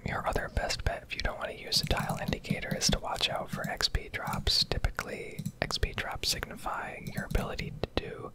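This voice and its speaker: A woman doing ASMR commentary over gameplay, rambling and explaining in a whisper at a close microphone. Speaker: gaming video creator.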